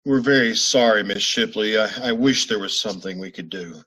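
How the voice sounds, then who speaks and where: A man in a radio drama playing a calm, terse police sergeant who speaks in a flat, clipped, deadpan way. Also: on the low side, moderate pace, breathy edge, low-quality recording. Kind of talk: 1950s radio drama acting.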